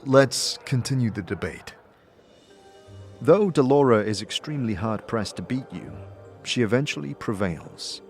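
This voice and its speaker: A man's voice: medium pitch, lively intonation, measured pace, faint music behind. A man narrating an audio drama and speaking as its lead, with hesitant "uh"s in his lines. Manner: hesitant, with filler "uh"s in dialogue.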